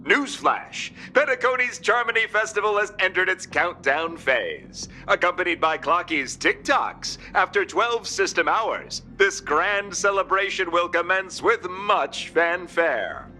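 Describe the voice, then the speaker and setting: An excitable game newscaster with showy announcer delivery. His voice is high for a man and extremely bright and punchy. Faint music runs underneath. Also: measured pace, few pauses, full character voice. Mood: excited. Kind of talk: announcer newsflash in a video game. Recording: background music.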